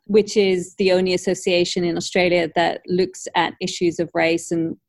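A woman who grew up in Ireland, hosting an academic conversation in a thoughtful, measured way with frequent hedges. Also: lowish voice, little pitch movement, dry recording. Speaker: podcast host.

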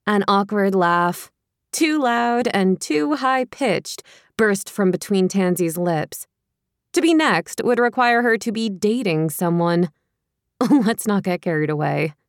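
Female audiobook narrator for light romantic fiction, mid-range voice, wry and expressive, very dry recording. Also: medium pitch, takes it slowly, smooth, dry recording.

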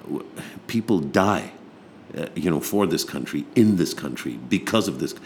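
A man with a very deep, low voice talks with passion and a sardonic edge, repeating words as he drives his point home. There is a faint hum of noise under the recording. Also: expressive, normal speed, darkish tone. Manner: impassioned, sardonic, repeats words when emphatic. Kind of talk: casual political discussion.

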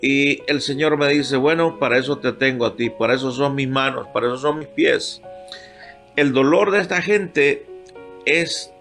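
Older Honduran pastor guest, halting heartfelt testimony, faint guitar bed. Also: male voice, medium pitch, fairly bright tone. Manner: heartfelt, halting, moved to tears at times. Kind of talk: emotional personal testimony.

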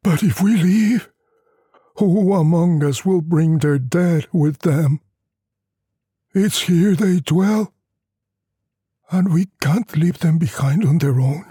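Storybook villager, played by a male narrator: fatalistic, wry, plaintive, pitched up and breathy, unhurried. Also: warm tone, dry recording, clean studio sound. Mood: stubborn, plaintive. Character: stubborn, fatalistic poor villagers, world-weary and wry.

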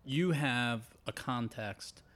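A man's voice: medium pitch, takes it slowly, a bit bright. A man speaking as an interviewer in a conversational way.

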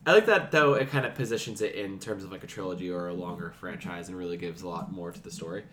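A man's voice: sits low, quick pace, a bit bright, slight background noise. A man co-hosting a podcast, chatting casually and informally about games. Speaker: podcast co-host. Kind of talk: casual gaming podcast chat.

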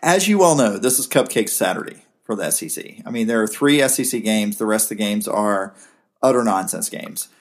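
A man's voice: on the low side, expressive, a little fast. A man from South Georgia with a Southern accent, co-hosting a casual sports talk show and rambling through opinions with frequent restarts. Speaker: co-host.

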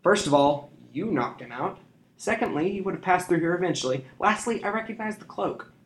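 A man voicing a cocky, defiant young boy who speaks quietly and self-assured, in a roomy room. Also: high-pitched, animated, a little fast, pitched above the performer's own voice. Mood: cocky calm. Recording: roomy echo.

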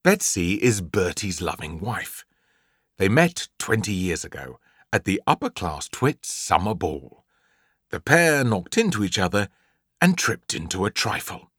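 A man's voice: lowish voice, fairly bright tone, dry recording, studio quality. A man with an English accent narrating a comic children's story in a theatrical, emphatic way, drawing out key words. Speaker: audiobook narrator.

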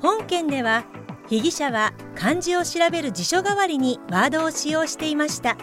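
High-voiced woman narrator over music. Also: very animated, normal speed. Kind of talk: scripted mock crime-report narration. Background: prominent background music.

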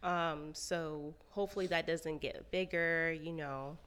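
A woman's voice: deep voice, steady tone, measured pace, fairly bright tone, clear, resonant tone. A woman from Florida co-hosting a podcast in chatty, casual talk full of likes and ums. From Florida, USA. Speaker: podcast co-host. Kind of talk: casual chat.

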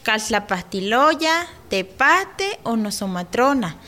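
An actress playing a lively village woman sharing health advice in a scripted radio drama. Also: on the high side, slow pace, full character voice. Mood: earnest.

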